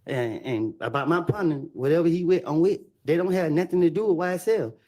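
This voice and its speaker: A man's voice: a little high, fairly quick, darkish tone. A man giving courtroom testimony in a defensive way, repeating himself under questioning. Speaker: witness.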